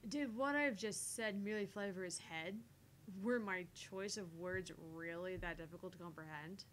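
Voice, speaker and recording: A woman's voice: fairly low pitch, moderate pace, slight background noise, lightly acted, rough recording. A woman playing an irritated, sardonic professional heroine, reading visual novel lines with clipped politeness. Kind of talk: reading visual novel dialogue and inner thoughts.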